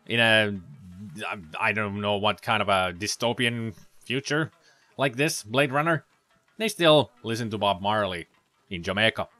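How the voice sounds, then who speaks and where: A man's voice: mid-range voice, sharp tone, dry recording. A man giving casual, rambling commentary over gameplay, with sarcastic asides. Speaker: let's play commentator.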